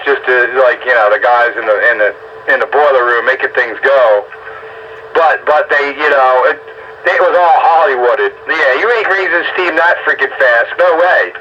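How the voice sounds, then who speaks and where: A man chatting casually over the radio, explaining things in an animated, emphatic way. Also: mid-range voice. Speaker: amateur radio operator. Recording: dull lo-fi radio transmission, faint static.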